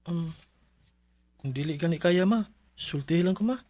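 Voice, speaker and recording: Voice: mid-range voice, normal speed, a little mellow, no room sound, close to an everyday voice. An actor with a Cebuano Filipino accent playing a worried, dutiful student child in a radio drama. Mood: worried, hesitant.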